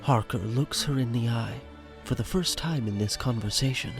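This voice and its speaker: Creaky-voiced man narrating over music. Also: medium pitch, moderate pace. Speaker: narrator of an audio drama. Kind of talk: scripted narration, reading stage directions.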